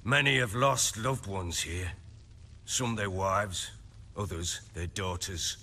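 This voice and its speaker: A man's voice: sits low, slow, bright, forceful tone, slight background noise, exaggerated voice. An actor voicing a burly middle-aged baron in a fantasy video game, blunt and weary as he tells his tale. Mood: grim, measured.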